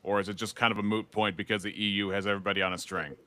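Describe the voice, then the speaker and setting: A man's voice: lowish voice, flat delivery, racing, pushed and bright, no room sound. A man hosting a casual panel discussion, explaining points at length and then handing over to his guests. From United States.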